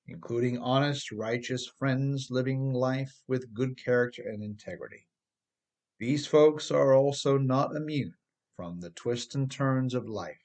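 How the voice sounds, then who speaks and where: A man's voice: mid-range voice, a little mellow. A man reading his own self-help book aloud as scripted narration, in an instructive manner that speaks directly to the listener.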